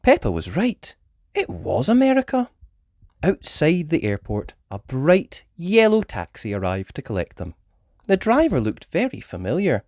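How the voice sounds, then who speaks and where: A woman reading a children's story aloud as an expressive storyteller. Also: deep voice, a little slow, no echo, clean studio sound.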